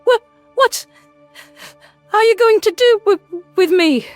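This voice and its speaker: A woman's voice: very high-pitched, takes it slowly, no room sound, faint music behind. A woman voicing a tiny, frightened female alien rodent in an audio drama, indignant and tearful, stammering as she talks. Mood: fearful confusion. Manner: stammering, agitated.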